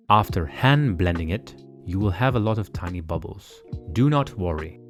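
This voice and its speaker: A man giving measured, precise step-by-step narration for a home cooking tutorial. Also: on the low side. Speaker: cooking channel host. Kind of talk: calm instructional cooking voice-over. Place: home kitchen.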